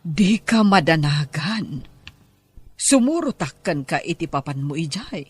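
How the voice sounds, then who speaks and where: Woman acting, caring Ilocano mother, radio-style drama, husky voice, very animated pitch. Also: a little slow, exaggerated voice. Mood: firm. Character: caring, coaxing mother of a college-age son.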